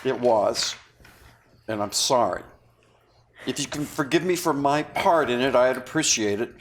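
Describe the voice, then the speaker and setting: A remorseful man, played by an actor at a script read-through speaks at a middle pitch with earnest, halting phrasing. He sounds confessional and philosophical, as in a stage drama. The recording has clear background noise. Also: natural voice. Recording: clearly noisy room recording.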